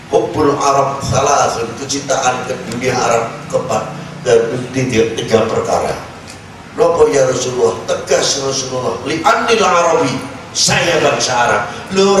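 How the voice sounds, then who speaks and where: A man's voice: medium pitch, far from the mic. A man with a Javanese accent preaching an Islamic sermon in an exhortative, emphatic way, often posing rhetorical questions. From Indonesia. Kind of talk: sermon mixing Indonesian with regional speech and Arabic quotations. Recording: echoey, noisy hall recording from afar.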